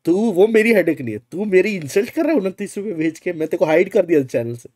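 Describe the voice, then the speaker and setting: A man's voice: high voice, lively intonation, quick pace, a little mellow, no echo. A man, bantering casually on a game livestream in a teasing, mock-indignant, exclamatory manner. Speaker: game streamer. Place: small room indoors.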